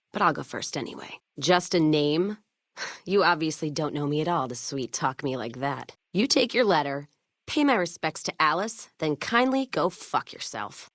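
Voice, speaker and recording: A woman playing a hard-drinking, sharp-tongued American caravan owner in a post-apocalyptic western game, with blunt, sardonic, folksy profanity. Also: sits low, no room sound, studio quality. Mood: prickly, sardonic. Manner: blunt, sardonic, profane frontier drawl with folksy turns of phrase. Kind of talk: video game character dialogue.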